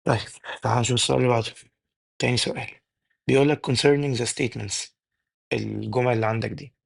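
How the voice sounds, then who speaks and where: A young man with an Egyptian Arabic accent tutoring exam chemistry, his voice worn and going hoarse, firing questions at the class and answering them himself. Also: mid-range voice, fairly even intonation. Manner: rapid drilling, asks and answers his own questions. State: losing his voice. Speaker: chemistry teacher. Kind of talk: exam-prep tutoring lesson.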